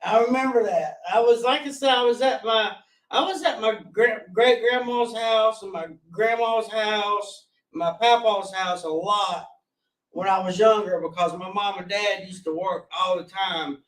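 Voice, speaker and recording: Loud-voiced guy in his 40s from north carolina, kinda high, rambles his story, echoey and off mic. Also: steady tone, measured pace, distant mic. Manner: earnest, rambling with restarts. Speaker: podcast guest. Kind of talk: telling his life story. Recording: roomy, off-mic sound.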